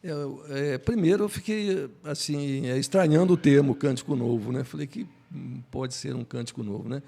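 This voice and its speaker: Older man, heavily creaky voice. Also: mid-range voice, mellow. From Brazil. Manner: halting, searching for words, repeats words. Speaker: Bible study panelist. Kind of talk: conversational religious discussion.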